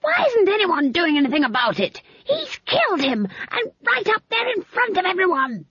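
A woman's voice: extremely high pitch, huge pitch swings, normal speed, fairly bright tone, no echo, pitched above the performer's own voice, full character voice. A woman voicing a fierce, gullible old witch for a storybook, exclaiming and hissing in outrage, in a dull recording. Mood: outraged. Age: older.

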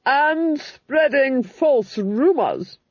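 A woman's voice: high-pitched, lively intonation, extremely slow, no room sound, highs cut off, pitched above the performer's own voice, heavily acted. A woman voicing a haughty, cruel Duchess with imperious, clipped commands in an audiobook. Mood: cold menace. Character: haughty, cruel Duchess, menacing and coolly impatient. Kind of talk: audiobook character voice.

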